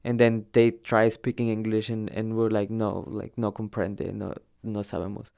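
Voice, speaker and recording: A young adult man recounts his own experience in a conversational, slightly hesitant manner. His voice sits fairly low and sounds clear and smooth. He often doubles a word for emphasis as he goes. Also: flat delivery, moderate pace, darkish tone. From El Salvador. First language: Spanish. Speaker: storyteller recounting own migration. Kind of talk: informal personal narrative.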